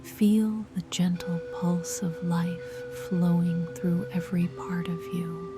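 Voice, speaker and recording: A woman whispering soft, soothing guided meditation instructions. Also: lowish voice, level tone, slow pace. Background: music.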